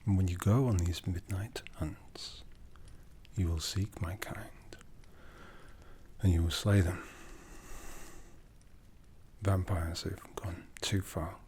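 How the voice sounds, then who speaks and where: A man playing an ancient, brooding vampire lord in an ASMR roleplay, speaking in a breathy, hushed, solemn voice that slips into whispers. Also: sits low, steady tone, slight background noise. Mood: commanding, persuasive. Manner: deliberate, solemn, intimate. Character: ancient vampire lord, brooding, commanding, softly menacing, courtly. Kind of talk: ASMR roleplay, soft speech slipping into whispers.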